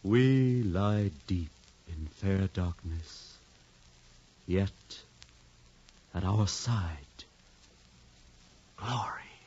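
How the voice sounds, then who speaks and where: A man's voice: deep voice, dark tone, husky, full character voice. A man in a 1950s radio drama playing a brooding, theatrical conspirator who speaks in grandiose, poetic, domineering phrases. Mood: grandiose, coaxing. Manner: grandiose, slow, deliberate, domineering. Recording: dull, noisy 1950s radio recording.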